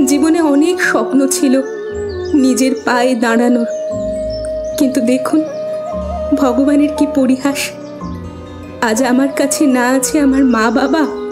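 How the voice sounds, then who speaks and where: A humble young orphan girl from a cartoon melodrama, voiced by a woman. Her voice is extremely high and plaintive, full of sorrow, over prominent background music. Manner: pleading, plaintive.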